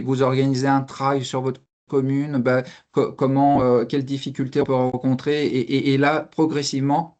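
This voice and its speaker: A man speaking spontaneously as a panelist in a professional discussion, halting and often repeating words as he explains. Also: medium pitch, a little mellow. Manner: hesitant, halting delivery with frequent repeated words. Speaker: fire service officer and trainer speaking as panelist.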